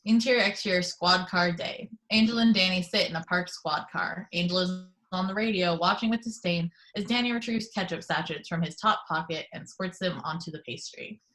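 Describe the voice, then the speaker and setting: A woman's voice: lowish voice, brisk, hardly any pauses, a bit bright, poor audio. A woman reading scene headings and action lines as narrator of a script table read.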